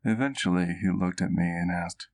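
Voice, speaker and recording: Man acting a low, creaky, eloquent vampire doctor. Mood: reflective. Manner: formal, literary, measured storytelling. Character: old vampire doctor, doting, eloquent, wistful storyteller. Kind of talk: ASMR roleplay.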